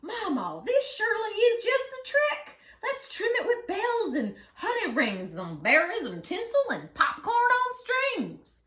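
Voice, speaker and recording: A woman's voice: extremely high pitch, wildly swooping pitch, a little slow, fairly bright tone, roomy sound, voice pushed up, full character voice. A woman voicing a gleeful, exuberant youngster in a children's storybook with a singsong delivery. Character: gleeful, eager youngster Barnaby, bursting with excitement.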